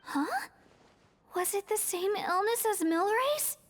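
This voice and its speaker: A woman's voice: very high voice, lively intonation, takes it slowly, soft background music, full character voice. A woman voicing an earnest, eager young girl in a video game, speaking a little hesitantly. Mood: curious, earnest.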